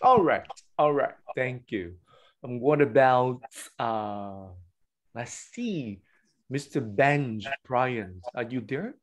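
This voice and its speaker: Slow, hesitant man hosting a video call. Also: mid-range voice, animated. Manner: slow, hesitant with many fillers. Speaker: online meeting host.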